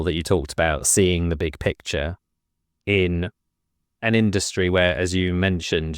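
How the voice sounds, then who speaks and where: Deep-voiced male podcast host. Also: dry recording, studio quality. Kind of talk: business podcast interview.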